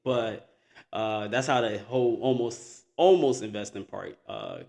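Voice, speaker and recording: A male podcast host with a fairly low voice, chatting casually. He keeps backing his guest with quick affirmations like "so true" and "I agree". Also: vocal fry, darkish tone. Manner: agreeable, lots of short affirmations. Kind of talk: casual interview chat.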